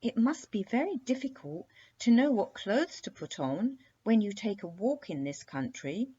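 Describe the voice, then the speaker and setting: A woman's voice: mid-range voice, big pitch swings, normal speed, mellow, slightly rough, lo-fi sound, pitched above the performer's own voice. A woman voicing a polite, fearless little princess in a children's story, with a courteous, knowing manner. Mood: matter-of-fact, knowing.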